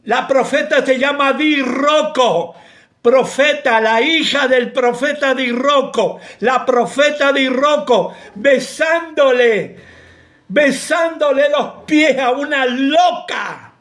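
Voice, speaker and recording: A pastor with an Argentine accent preaching a sermon in an impassioned, rebuking way that often bursts into shouting. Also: male voice, very high-pitched, slow pace. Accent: Argentine Spanish.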